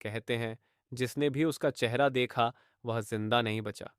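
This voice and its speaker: A man voicing an elderly grandfather in a cartoon story, speaking softly with a warning tone. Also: medium pitch, fairly even intonation, measured pace, clear, resonant tone, no echo, studio quality, natural voice. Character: old grandfather warning his granddaughter, gentle.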